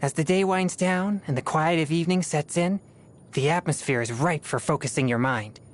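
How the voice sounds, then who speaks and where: A woman playing a solemn, disciplined teenage boy exorcist in a video game, with formal, measured speech. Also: deep voice, natural voice. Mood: solemn, composed. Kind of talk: scripted video game character voice lines.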